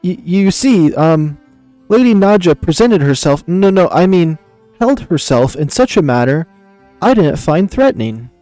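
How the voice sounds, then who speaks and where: A man's voice: fairly high pitch, big pitch swings, a little slow, warm tone, no room sound, faint music behind. A man voicing a flustered, lovestruck young beast knight who stammers and hesitates deferentially in a visual novel.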